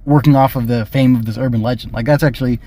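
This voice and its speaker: A man's voice: mid-range voice, steady tone, quick pace, dark tone. A man hosting a casual solo review podcast, rambling and thinking aloud with tentative digressions. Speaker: podcast host and film reviewer.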